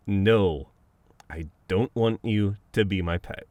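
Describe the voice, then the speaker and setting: A man's voice: low voice, a little mellow, no echo, muffled recording. A man acting a flustered, teasing best friend with a dominant streak in an intimate, conversational ASMR roleplay, often sounding exasperated. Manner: conversational, exasperated, breaking into chuckles and sighs.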